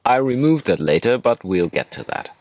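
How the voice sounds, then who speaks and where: A man's voice: mid-range voice, big pitch swings, normal speed, darkish tone, faint music behind. A man narrating a hobby tutorial as a voice-over, hosting his own how-to video.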